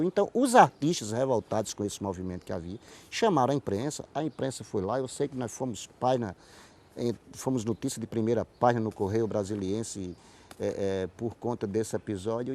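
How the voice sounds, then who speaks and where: Male interview guest, relaxed dark timbre, Northeastern Brazilian accent, conversational storyteller who restarts and repeats for emphasis. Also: medium pitch. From Paraíba, Brazil. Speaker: interview guest, writer and playwright. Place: TV studio.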